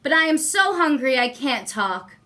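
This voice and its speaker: A bold young boy from a children's tale, played by a woman reader. The voice is high and bright, earnest and polite, with an eager, pleading lift. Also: sing-song, normal speed, exaggerated voice.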